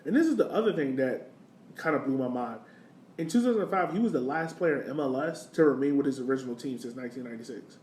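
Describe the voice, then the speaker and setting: A man's voice: medium pitch, expressive, fast, darkish tone. An American man co-hosting a podcast, chatting casually about sports. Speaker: podcast co-host. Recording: roomy, distant mic, faint background noise.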